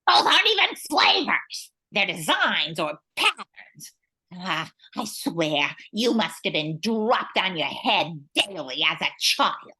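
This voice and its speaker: A woman playing a bossy munchkin narrator in an amateur radio play, theatrical, with a husky edge. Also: lowish voice, big pitch swings, slow, pushed and bright, heavily acted, rough recording. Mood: exasperated, scolding. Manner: theatrical, scolding.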